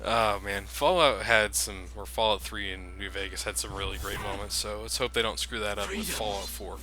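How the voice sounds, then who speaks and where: A man's voice: fairly low pitch, normal speed, crowd noise. A man hosting a let's play, talking casually and rambling with lots of fillers as he plays. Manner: relaxed, rambling, with frequent fillers. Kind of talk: casual gameplay commentary.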